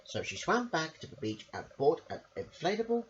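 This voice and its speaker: Very animated woman storyteller, muffled recording. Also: low voice, normal speed. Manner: theatrical, emphatic, with stumbles over words. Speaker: storyteller on own channel. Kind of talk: animated children's story read-aloud. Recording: muffled, hissy home recording.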